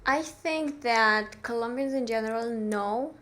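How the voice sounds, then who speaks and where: A woman's voice: a little high, a little slow, fairly bright tone. Give me a young woman from Romania, Romanian native, talking casually and hesitantly as a podcast guest. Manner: thoughtful, hesitant, many fillers. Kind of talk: casual interview chat. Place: small bright studio room. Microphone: studio mic on arm.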